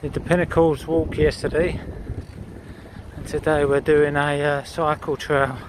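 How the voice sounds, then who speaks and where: A man's voice: on the high side, level tone, unhurried. A man with a New Zealand accent riding a bike trail outdoors, making brief offhand remarks and calling to his dog, recorded on an action camera. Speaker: vlogger cycling with his dog. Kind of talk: casual commentary while riding. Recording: loud wind noise outdoors.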